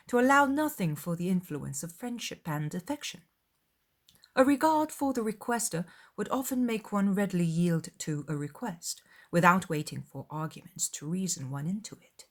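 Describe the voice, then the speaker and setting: Witty, spirited young lady, acted by a woman. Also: fairly low pitch. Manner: articulate, pointed, archly polite. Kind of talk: dramatised audiobook of a classic novel.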